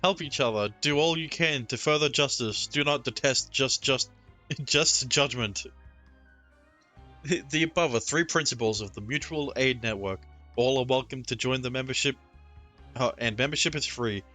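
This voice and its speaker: Male streamer; bright forceful voice, medium pitch, casual wry commentary, reads text aloud. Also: moderate pace, soft background music. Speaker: game streamer.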